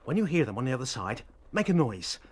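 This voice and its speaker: Tough, animated rabbit fighter. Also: male voice, mid-range voice, quick pace, husky. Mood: resolute, planning. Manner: blunt, determined. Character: tough, defiant male rabbit fighter defending his warren. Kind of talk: radio drama acting.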